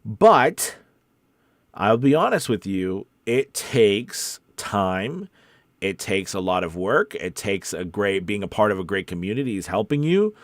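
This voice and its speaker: Low-voiced man giving candid advice. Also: very animated, unhurried, dry recording, clean studio sound. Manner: candid, encouraging, repeats himself for emphasis. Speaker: voice actor and coach hosting a live Q&A. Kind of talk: casual, off-the-cuff advice talk.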